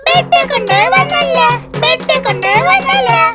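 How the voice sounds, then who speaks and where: A performer voicing a cheeky, excitable little child in a children's cartoon. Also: extremely high pitch, a little slow, heavily acted. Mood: delighted. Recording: music behind the voice.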